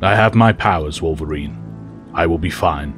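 An actor playing a calm, dignified male telepathic professor who speaks in a formal, measured way. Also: very deep voice, over music.

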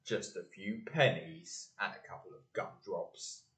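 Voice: low-pitched, animated, unhurried, fairly bright tone. An actor playing a dim, mocking sidekick bully in an audio play. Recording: echoey room.

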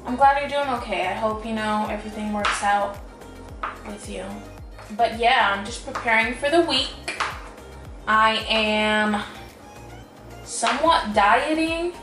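A woman's voice: a little high, unhurried, pauses often. A young adult woman talking in a casual, rambling cooking-vlog style, with lots of informal filler phrases like a chat with friends. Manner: informal, rambling, frequent "like" and "you know". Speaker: home cooking vlogger. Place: home kitchen, indoors. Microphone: camera across the table. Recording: roomy, distant camera mic, background music.

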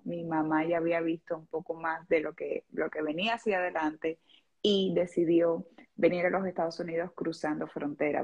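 A woman's voice: on the low side, darkish tone, breathy edge, lo-fi sound. A woman in her thirties with a Cuban-tinged Miami Spanish accent, a lawyer explaining things earnestly, step by step, as a radio guest. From Venezuela. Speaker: personal injury lawyer.

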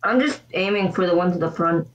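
A woman's voice: lowish voice, a little fast, a little hiss or hum. A young woman chatting casually while playing a game with a friend, chatty and self-mocking. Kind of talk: casual gaming chat. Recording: dull, slightly muffled voice chat.

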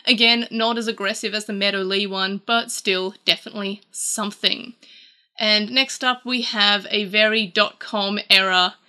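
Chatty Australian woman with a bright voice. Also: mid-range voice. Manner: chatty, rambling with frequent fillers. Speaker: YouTube host. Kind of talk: casual chat reviewing old ads. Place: small room with toy-filled shelves.

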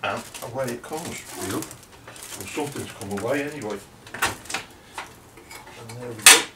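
A man's voice: mid-range voice, measured pace, far from the mic, very noisy. Older man muttering in an echoey garage. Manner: mutters while working, plain chatty asides. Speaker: home car restorer. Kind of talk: casual workshop commentary. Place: cluttered home garage. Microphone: camera mic from a distance.

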